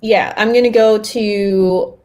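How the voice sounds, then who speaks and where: A woman co-hosting a podcast in casual, playful group chat, animated and quick to laugh. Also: medium pitch. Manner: animated banter, laughs often. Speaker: podcast co-host.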